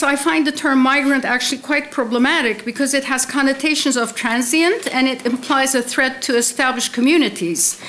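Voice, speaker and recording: A middle-aged woman delivering a scholarly keynote lecture, mostly read from a prepared text, with deliberate emphasis on key terms. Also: high-pitched, fairly bright tone. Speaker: keynote speaker, literary scholar. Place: indoor conference hall, seated at a desk with laptop. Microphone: desk microphone on a stand.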